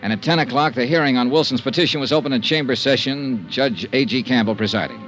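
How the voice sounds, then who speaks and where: A man's voice: medium pitch, fast talker, fairly bright tone, soft background music, natural voice, low-quality recording. An actor playing a cynical male insurance investigator with a wry, clipped delivery in a vintage radio drama. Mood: brisk, matter-of-fact. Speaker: lead actor and narrator. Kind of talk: 1950s radio detective acting.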